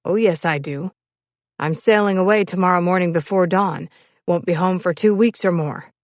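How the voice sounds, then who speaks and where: A woman voicing a proud, frustrated young sailor in love, husky, terse and intense, in an audiobook story. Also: on the low side, moderate pace, no room sound, close to an everyday voice. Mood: curt, wounded. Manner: terse, intense, clipped words. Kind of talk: audiobook character dialogue.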